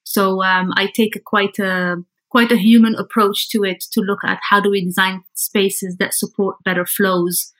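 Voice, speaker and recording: A woman's voice: mid-range voice, little pitch movement, moderate pace, fairly bright tone. Thoughtful woman from Lebanon in conversation. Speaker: podcast guest, architect. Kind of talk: conversational interview answers.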